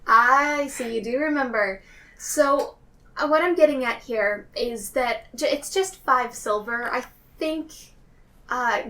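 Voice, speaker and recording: A woman playing a tabletop game, speaking casually in character in a halting, repetitive way. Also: fairly high pitch, a bit bright. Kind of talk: casual in-character improvised play. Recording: slightly distant mic, faint noise.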